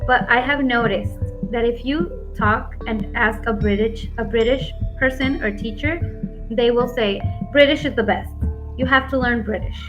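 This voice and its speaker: A woman's voice: on the high side, moderate pace. A woman with a neutral American accent tutoring English over a video call, talking frankly and often saying "like". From United States. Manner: frank, often uses "like" as a filler. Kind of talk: casual one-on-one lesson chat. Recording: dull lo-fi call audio with faint background music.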